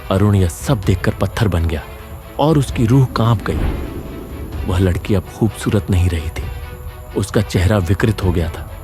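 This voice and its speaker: Low-voiced man narrating horror. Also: a little slow, music in the background. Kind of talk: scripted dramatic narration.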